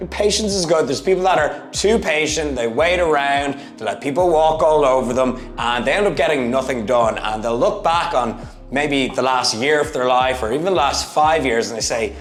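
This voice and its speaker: A man of thirty, giving an emphatic motivational vlog talk, swinging from loud bursts to low asides. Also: moderate pace. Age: 30s. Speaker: fitness YouTuber. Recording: echoey room with faint music. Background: faint background music.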